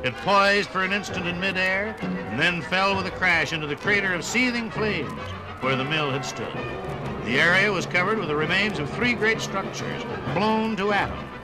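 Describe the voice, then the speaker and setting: A man narrating a scripted 1950s radio documentary in an emphatic, measured and dramatic announcer's style, heard on an old broadcast record. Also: high voice, expressive, music in the background, poor audio.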